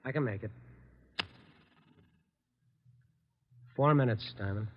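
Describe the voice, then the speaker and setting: A man's voice: medium pitch, fast, natural voice. Muffled old-radio tough American gunman, acted by a man. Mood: curt, wary. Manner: clipped slangy tough-guy talk. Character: cornered male killer, tough, weary, menacing. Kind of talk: old-time radio crime drama acting. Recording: muffled vintage radio recording.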